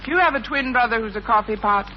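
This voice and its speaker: A woman's voice: on the high side, fast, lightly acted. Woman playing a wry schoolteacher on old noisy radio. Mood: sarcastic. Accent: American. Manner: dry, deadpan wisecracking. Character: wry, lovelorn woman schoolteacher, sharp-tongued and sarcastic. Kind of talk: 1950s radio sitcom acting with first-person narration. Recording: dull, noisy 1950s radio recording.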